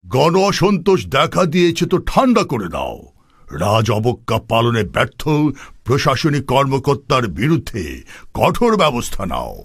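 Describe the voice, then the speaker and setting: A man's voice: medium pitch, sing-song, moderate pace, fairly bright tone, dry recording, studio quality. A man voicing a haughty, pleasure-seeking king in a historical cartoon, speaking in an imperious, commanding way over background music. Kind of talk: animated cartoon voice acting.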